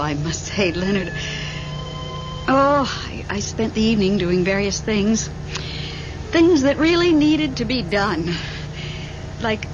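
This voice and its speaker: An actress playing a chatty, wry, polite widow in an old-time radio drama, with expressive, conversational delivery. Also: female voice, medium pitch, muffled recording, close to an everyday voice. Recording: old radio drama under loud vehicle noise.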